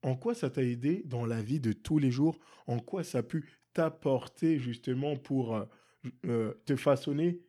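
A man's voice: mid-range voice, unhurried, dark tone. A man interviewing a guest in a casual way, asking questions and finishing the guest's sentences.

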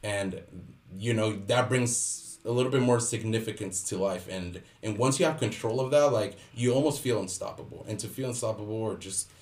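A man's voice: on the low side, moderate pace, darkish tone. A man in his thirties, born in Mexico and raised in Los Angeles, chatting casually as a podcast guest, laid-back and slangy with lots of fillers. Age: 30s. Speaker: guest entrepreneur. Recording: roomy sound, off-mic.

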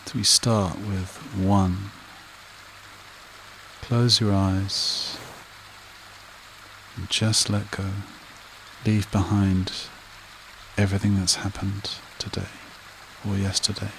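A man leading a guided meditation and hypnosis in a soothing, repetitive manner with short phrases and long pauses. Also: low voice, slight background noise, rough recording. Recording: rain and running water behind voice. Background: steady rain and stream sounds.

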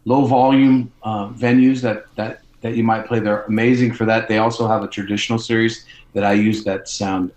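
A man's voice: fairly low pitch, flat delivery, normal speed, hardly any pauses, a little mellow. A man talking casually as an interview guest, recorded in an echoey room. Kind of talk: casual chat. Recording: roomy, echoing sound.

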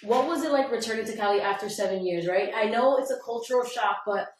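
Interview host: woman, encouraging, many tag questions, slightly high voice, echoey room. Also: fast. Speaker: podcast host. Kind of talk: conversational interview.

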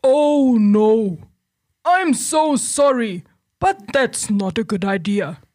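A man voicing a big, apologetic, grumbling elephant in a storybook, with drawn-out, reluctant speech. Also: very high-pitched, expressive, darkish tone, dry recording, clean studio sound, voice pushed up, exaggerated voice. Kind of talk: storybook character voice.

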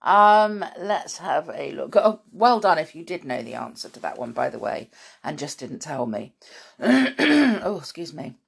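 Croaky-voiced woman chatting to children. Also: low voice, big pitch swings, measured pace. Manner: warm chatty aside-filled talk with many ums and little laughs. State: croaky morning voice. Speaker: children's podcast host and storyteller. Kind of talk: chatty spoken intro to children's story podcast.

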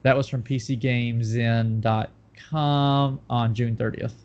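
A man's voice: mid-range voice, steady tone, slow pace, darkish tone, lo-fi sound. A man with a Southern US accent and a nasal, stuffed-up voice, rambling and joking in a casual podcast chat. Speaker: podcast co-host.

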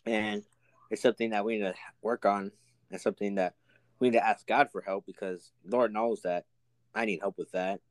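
Brief: young guy co-host, relaxed and laughing, lowish voice, dry close sound. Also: normal speed, vocal fry, no echo. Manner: easygoing, laughs often, filler-heavy. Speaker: podcast co-host. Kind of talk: casual chat.

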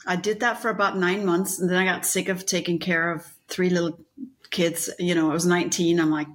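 Chatty Danish-born woman, lowish voice. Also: level tone, brisk. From Denmark. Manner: chatty, rambling with fillers and restarts. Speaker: guest, leadership coach. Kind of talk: casual interview chat.

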